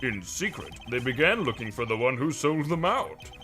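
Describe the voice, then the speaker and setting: Game fandub role: man as weary older male lawyer, ponderous and theatrical, bright swooping delivery, music underneath. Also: medium pitch, sing-song, moderate pace. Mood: confessional. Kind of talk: visual novel game fandub acting. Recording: music behind the voice.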